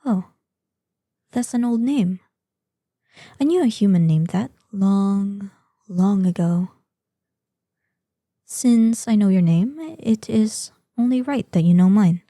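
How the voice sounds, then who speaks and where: A woman playing an ancient, proud and curious female dragon in an audio roleplay, speaking softly in formal, old-fashioned phrases. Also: medium pitch, no echo, studio quality. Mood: gracious, formal. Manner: formal, archaic, deliberate phrasing. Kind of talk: scripted audio roleplay addressed to the listener.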